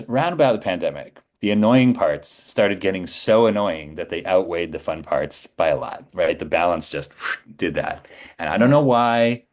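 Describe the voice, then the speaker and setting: A middle-aged man telling stories in a casual, conversational way as a podcast guest. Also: deep voice.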